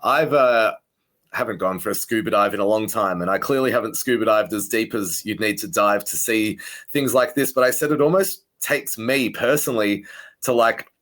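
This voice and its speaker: A man hosts a relaxed interview. He talks with open enthusiasm, wandering through his thoughts and leaning on casual fillers as he goes. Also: lowish voice, moderate pace. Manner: enthusiastic, rambling, many "like" fillers. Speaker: interview host.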